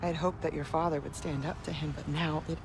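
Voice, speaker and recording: A middle-aged actress playing a proud, grieving Norse goddess and mother in a video game, intense and measured, with music behind her. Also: low voice, fairly even intonation, gravelly, noisy background. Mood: solemn, resolute. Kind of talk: dramatic video game acting.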